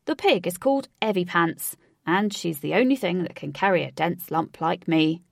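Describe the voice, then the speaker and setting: A stocky, cheeky young man who blusters loudly and is quick to take offence, as a woman puts him on. His voice is brash and bold, a storybook rascal. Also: lowish voice, lively intonation, gravelly, no echo, studio quality. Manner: loud and brash.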